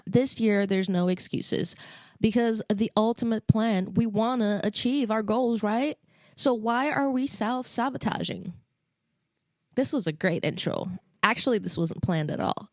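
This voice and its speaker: A woman's voice: medium pitch, dry recording, clean studio sound. A young woman from Brazil, chatting casually and rambling through a storytime vlog, self-mocking and jumping between tangents. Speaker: vlogger. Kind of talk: casual storytime chat to camera.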